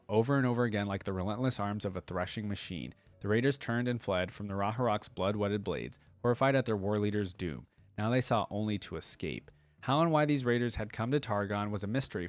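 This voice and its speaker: Man, fairly low voice, dry sound, informal reaction host, story reading and casual fan commentary. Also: no room sound. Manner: informal, slangy.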